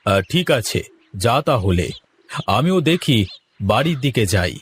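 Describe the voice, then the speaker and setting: A man's voice: mid-range voice, very animated, unhurried, slight background noise. A man voicing an easygoing, practical village farmer in a cartoon, talking in a homely, colloquial way. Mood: calm, matter-of-fact. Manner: homely, colloquial, ends remarks with checking questions. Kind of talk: cartoon dialogue.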